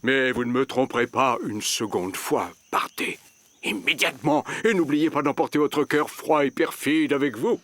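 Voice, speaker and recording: A man voicing a big, good-natured bear in a French cartoon dub, blustery and protective, with expressive, hesitant interjections. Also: medium pitch, normal speed, natural voice. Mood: indignant. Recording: music under the dialogue.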